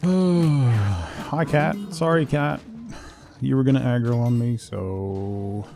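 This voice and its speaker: A man's voice: mid-range voice, takes it slowly, vocal fry, over music. A man giving casual solo commentary as a game streamer, musing and thinking aloud to himself.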